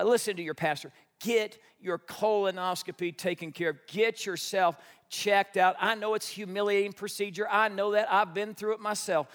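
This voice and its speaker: High-voiced American pastor in his fifties. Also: fairly bright tone. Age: 50s. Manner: folksy, joking, repeats phrases for emphasis. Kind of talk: conversational sermon to a congregation. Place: church before an audience.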